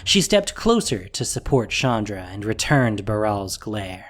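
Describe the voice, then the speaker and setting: A man's voice: fairly low pitch, big pitch swings, unhurried. A man narrating a fantasy audio drama in a scripted, dramatic storytelling style.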